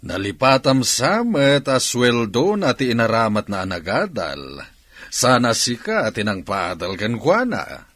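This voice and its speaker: A man's voice: medium pitch, sing-song, measured pace, a bit bright, no echo. An actor with an Ilocano accent playing a fair-minded man who earnestly stands up for workers in a radio drama. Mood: reproachful.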